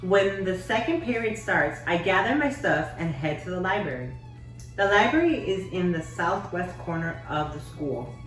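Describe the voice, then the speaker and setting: Teacher read-aloud, woman, lowish voice, steady and measured; echoey room, distant mic. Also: faint music behind. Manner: measured, steady, with occasional slips. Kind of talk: reading a children's novel aloud.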